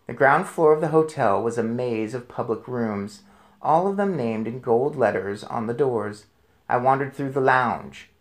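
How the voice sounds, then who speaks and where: A man narrating a children's storybook aloud in an expressive read-aloud style. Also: medium pitch.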